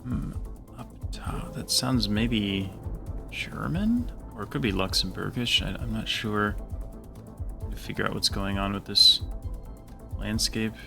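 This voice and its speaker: A man's voice: lowish voice, lively intonation, a little slow, gravelly, pauses often, over music. A man co-commentating a live game match, analyzing plays and thinking aloud about his guesses. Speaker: esports co-commentator.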